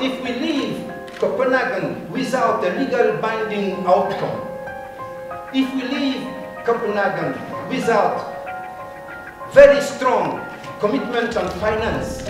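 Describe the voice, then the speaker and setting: A man's voice: extremely high pitch, slow. A man giving an impassioned public speech at climate talks, building repetitive rhetoric. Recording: echoey hall from far off with music.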